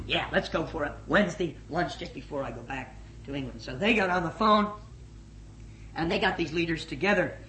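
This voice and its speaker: A middle-aged man giving a spoken report as a missions leader to a gathering, energetic and emphatic, swinging between loud and hushed. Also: a little high. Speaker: missions leader addressing his organisation. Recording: echoey room with faint noise.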